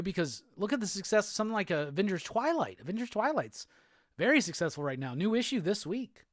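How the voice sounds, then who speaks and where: A man from Alabama with a Southern accent, hosting a casual live show and answering chat comments in a relaxed, rambling way. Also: high-pitched, dry recording, studio quality. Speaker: host.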